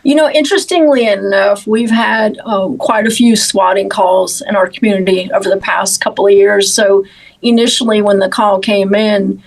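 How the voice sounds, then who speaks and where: A woman in law enforcement answering interview questions about an investigation, in a measured, halting way with repeated words. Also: medium pitch, fairly even intonation, low-quality recording.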